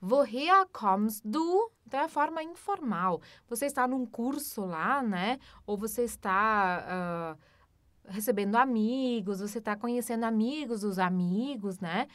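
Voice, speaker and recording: A woman with a Brazilian Portuguese accent teaching a German lesson. She explains patiently, often adds tag questions and slows down for the German examples. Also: on the high side, lively intonation, a bit bright, dry recording. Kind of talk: language lesson.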